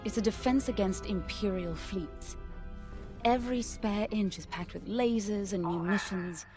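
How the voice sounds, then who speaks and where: Music plays clearly behind a woman performing a well-bred starfighter pilot for a video game. She is poised and earnest, choosing polite, articulate words at a medium pitch. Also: lightly acted. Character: refined, wealthy, earnest female rebel starfighter pilot. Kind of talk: video game character dialogue.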